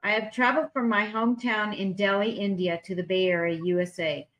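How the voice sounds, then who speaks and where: A woman on a video call reads artists' statements aloud, one after another. She pauses and stumbles over unfamiliar words, then carries on at a middling pitch, recorded at home. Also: fairly even intonation, fairly bright tone, rough recording. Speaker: public arts commissioner. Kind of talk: reading artwork entries aloud in a meeting.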